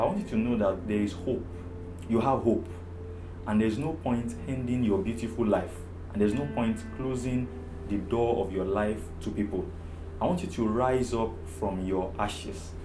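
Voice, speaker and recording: A low-pitched man from Africa speaks in a loud, strong voice, earnest and emphatic as he gives his own testimony. His voice rings in a roomy space while guitar music plays clearly underneath. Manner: earnest, emphatic, repeats phrases for stress. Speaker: problem management therapist. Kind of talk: personal testimony, motivational.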